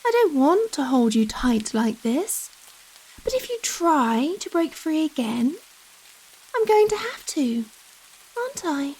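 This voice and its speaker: A giant vampire woman, played by a female ASMR actor, speaks in a high, lingering voice with rain falling around her. She is coy and coddling, gentle yet possessive, toying with someone tiny in a slow, intimate roleplay. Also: full character voice, rough recording. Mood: menacing, restrained. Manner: slow, coy, coddling talk with pet names. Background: steady rain.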